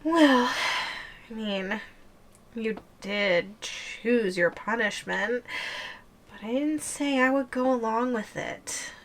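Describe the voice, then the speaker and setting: A woman's voice: on the high side, slow. A woman voicing a playful, possessive giantess girlfriend in an ASMR audio roleplay, with a teasing, giggly, languid delivery. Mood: smugly possessive.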